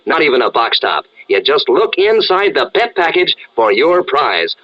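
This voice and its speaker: Animated man pitching as a radio announcer. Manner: chummy, enthusiastic sales pitch. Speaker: commercial announcer. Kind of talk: 1940s radio ad read to children. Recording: muffled old-time radio sound.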